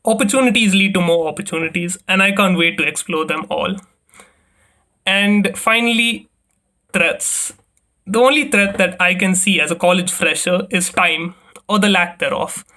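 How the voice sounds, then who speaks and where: Young guy from india reading his class presentation, high voice, formal and rehearsed. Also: moderate pace, bright, forceful tone. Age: young adult. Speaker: student.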